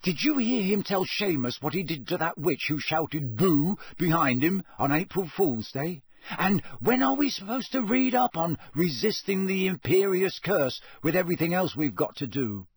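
A man's voice: a little high, big pitch swings, moderate pace, breathy edge, no room sound, pitched above the performer's own voice. A man voicing a nervy teenage schoolboy wizard in an audiobook, complaining in an incredulous way. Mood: grumbling.

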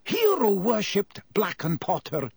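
A man's voice: high-pitched, huge pitch swings, measured pace, vocal fry, breathy edge, dry recording, clean studio sound, pitched above the performer's own voice, heavily acted. A man voicing a stern, proper older schoolmistress in an audiobook, strict and clipped, holding back feeling. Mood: regretful.

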